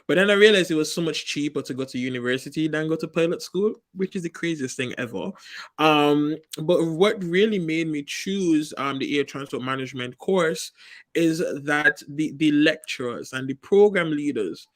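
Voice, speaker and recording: Expressive, laughing male student, slightly high voice, dry close sound. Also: normal speed, no room sound. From Saint Kitts and Nevis. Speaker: PhD student. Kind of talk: casual panel chat.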